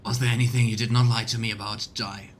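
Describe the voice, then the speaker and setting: A man's voice: medium pitch, little pitch movement, fast talker, slight background noise. A man giving casual let's play commentary and reading game dialogue aloud, with exasperated, sarcastic asides.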